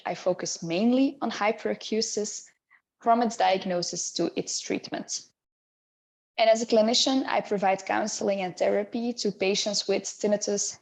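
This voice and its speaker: A woman in her thirties from Belgium, speaking English with her Belgian accent as a clinician presenting an educational webinar in a measured, explanatory way with occasional hesitations. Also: medium pitch, gravelly, a little mellow. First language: not English.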